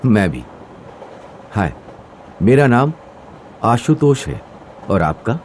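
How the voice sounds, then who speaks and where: An actor playing a young male train passenger in a Hindi animated horror story, nervous and expressive. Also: lowish voice, slow pace, slight background noise. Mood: friendly, curious. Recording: music under the dialogue. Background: music.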